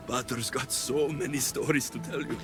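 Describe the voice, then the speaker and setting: A man voicing a battle-hardened ancient warrior and devoted father, in a dramatic video game cutscene. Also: medium pitch. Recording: dramatic music under the voice. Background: cinematic game music.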